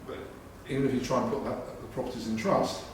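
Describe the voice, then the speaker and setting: Male adviser, methodical and matter-of-fact, mid-range voice, heard from afar in a boomy room. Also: steady tone, quick pace. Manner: methodical, lays out rules point by point. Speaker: tax adviser. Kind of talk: expert explanation on a panel. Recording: echoey room, distant mic, noisy.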